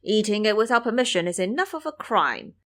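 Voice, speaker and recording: A woman's voice: medium pitch, very animated, brisk, fairly bright tone. A woman voicing a dignified, formal male immortal lord who is courteous but stern, in an audio drama. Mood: scolding.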